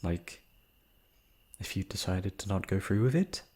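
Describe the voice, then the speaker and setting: A man's voice: sits low, expressive, unhurried, a little mellow, slightly rough, heavily acted. A man acting a shy, proud, wistful noble prince in a romantic fantasy roleplay, with hesitant, formal, sighing speech. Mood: wistful, teasing.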